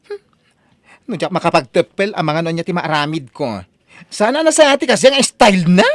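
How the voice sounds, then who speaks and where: A man playing a humble young office worker in an Ilocano audio drama, speaking in a gentle, earnest way. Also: high-pitched, lively intonation, brisk, no room sound, clean studio sound. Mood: gossiping. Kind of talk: Ilocano radio drama acting.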